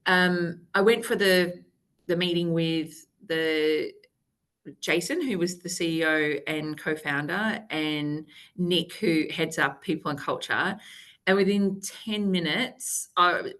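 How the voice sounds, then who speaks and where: Bright, chatty woman guest. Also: sits low, unhurried. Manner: upbeat, chatty, laughs while talking. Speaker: guest, company executive. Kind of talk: casual interview chat.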